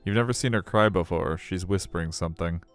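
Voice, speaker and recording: A man's voice: sits low, brisk, soft background music. A man streaming a live read-aloud of a visual novel, mixing narration with casual chat.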